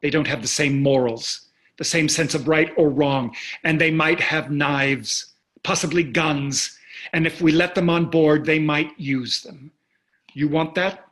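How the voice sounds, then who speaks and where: A man's voice: on the high side, steady tone, unhurried, a bit bright. A man playing a smug, callous wealthy husband in a staged play reading, sardonic and condescending. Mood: dismissive, cold.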